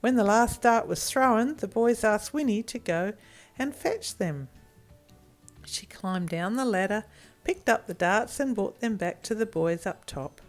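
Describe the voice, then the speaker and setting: A woman narrating a children's story in an expressive storytelling style. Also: mid-range voice, unhurried, soft background music.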